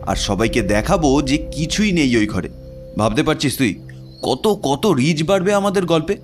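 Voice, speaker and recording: An actor playing a brash, fearless young man who mocks his friend. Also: mid-range voice. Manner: mocking, dismissive. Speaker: character in audio drama.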